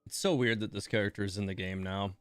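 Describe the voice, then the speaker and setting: A man's voice: on the low side, fairly even intonation, quick pace, smooth, no room sound, faint music behind. A man giving casual, chatty game commentary as a streamer, talking to viewers and repeating words for emphasis.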